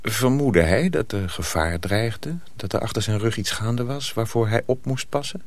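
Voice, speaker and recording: A man's voice: on the low side, dry recording. A man narrating a radio drama as a scripted storyteller.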